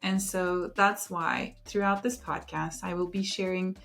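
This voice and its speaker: A woman hosting her own podcast, introducing it in an earnest, unhurried way with thoughtful pauses. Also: on the low side, fairly even intonation, creaky, a bit bright. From Luxembourg. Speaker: podcast host. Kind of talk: reflective solo podcast intro.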